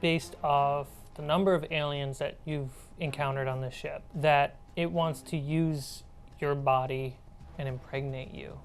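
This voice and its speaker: A woman's voice: low voice, fairly even intonation, measured pace. A woman running a tabletop role-playing game as game master, describing moves and calling for rolls.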